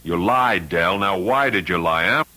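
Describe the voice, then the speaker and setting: An actor playing a shrewd, dogged lawyer in an old-time radio mystery, blunt and coolly insistent. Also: male voice, deep voice, expressive, a little slow, sharp tone, full character voice. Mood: cool, pressing. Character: shrewd, dogged male lawyer investigating a crime. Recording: radio drama recording.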